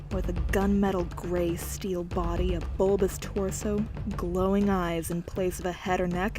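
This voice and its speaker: A woman reading an audiobook, narrating scripted fiction in a dramatic style. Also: mid-range voice.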